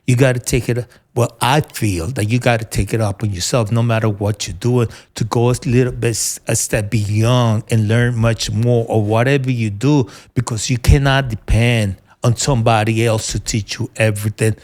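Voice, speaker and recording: A middle-aged man with a New York accent, a military veteran answering interview questions earnestly, often pausing to search for words. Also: mid-range voice, steady tone, darkish tone. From United States. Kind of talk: conversational interview.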